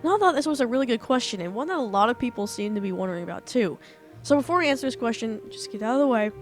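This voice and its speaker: A woman's voice: a little high, lively intonation, rapid-fire, soft background music. A young woman, an author, explaining storytelling step by step in a casual, earnest way, her voice slightly off after being ill. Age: young adult. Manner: earnest, talks through points step by step. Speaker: author and writing teacher.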